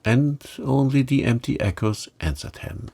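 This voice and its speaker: A man gently narrating a bedtime story in a calm, measured way. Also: mid-range voice, creaky. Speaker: audiobook narrator.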